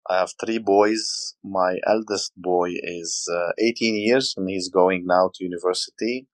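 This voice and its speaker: Low-voiced Lebanese man in his forties. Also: steady tone, unhurried, no echo. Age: 40s. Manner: hesitant, many fillers, storytelling. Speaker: podcast guest. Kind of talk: casual interview talk.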